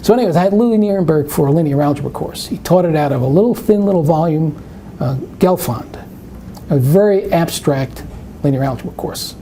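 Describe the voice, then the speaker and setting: A man's voice: on the high side, expressive, brisk, mellow, a little hiss or hum. An older man with a New York accent answering questions in a live math webinar, rambling into anecdotes and restarting his sentences. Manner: rambling, anecdotal, self-interrupting. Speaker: math presenter.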